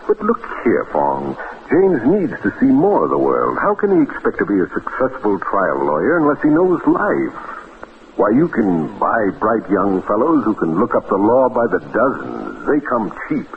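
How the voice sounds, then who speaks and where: Pompous middle-aged bon vivant lawyer, lowish and very animated, tinny hissy old radio recording. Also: male voice, moderate pace. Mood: wry, expansive. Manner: theatrical, pompous, wry. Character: urbane, grandiloquent bachelor lawyer, worldly, brandy-loving bon vivant. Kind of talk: old radio drama acting.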